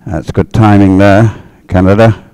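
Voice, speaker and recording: A man with a fairly low voice commentates live on a race. He muses aloud in hesitant asides as he follows the field, and the sound is dry and close. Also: little pitch movement, unhurried, a little mellow, clean tone, dry recording.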